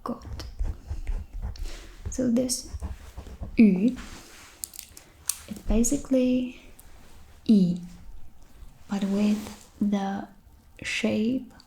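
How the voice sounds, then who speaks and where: A Czech-accented woman whose first language is Czech, giving a soft-spoken ASMR lesson in a whispery voice with hesitant ums and likes. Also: mid-range voice, fairly even intonation, drawn-out words, vocal fry, pauses often, poor audio. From Czech Republic. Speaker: ASMR host.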